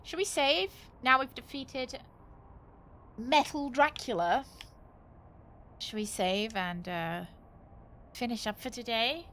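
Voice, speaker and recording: A woman's voice: a little high, slow pace, long pauses, a bit bright, slight background noise. A woman chatting casually as she streams a game, thinking aloud with wry, joking asides. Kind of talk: casual live gaming commentary.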